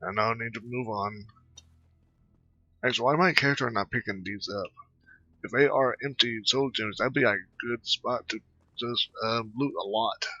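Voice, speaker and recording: A man giving casual gameplay commentary, halting and muttering to himself as he goes. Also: medium pitch, sharp tone, no echo. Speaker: gaming video host. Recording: dull, dry close home recording.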